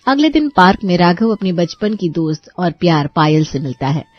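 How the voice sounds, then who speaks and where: Woman storyteller, lowish voice, scripted narration, slightly dull lo-fi track, music behind. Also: very animated, normal speed, dry recording.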